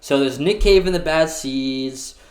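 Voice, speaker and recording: A man's voice: mid-range voice, a little slow. A young man hosting a casual livestream chat from home, friendly and rambling with many fillers. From New York City. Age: young adult. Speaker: streamer host. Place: small room at home. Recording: roomy home echo.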